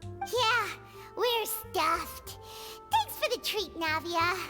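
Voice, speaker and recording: Tiny floating fairy sidekick: childlike, excitable, piercingly high video game voice. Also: female voice, drawn-out words, music in the background, exaggerated voice. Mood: content, whiny. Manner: refers to self in third person, excitable. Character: tiny floating childlike fairy companion, chirpy and greedy for food.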